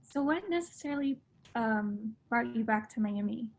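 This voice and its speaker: Gravelly, breathy woman interviewer. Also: on the high side, animated, moderate pace. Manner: engaged, asks follow-up questions. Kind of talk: conversational interview.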